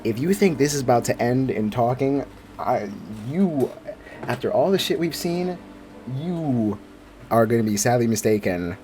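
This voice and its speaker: A man's voice: mid-range voice, expressive, measured pace, dark tone. A young man giving casual, slangy, reactive commentary on a game he is playing, with some swearing. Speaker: gaming streamer. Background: game music.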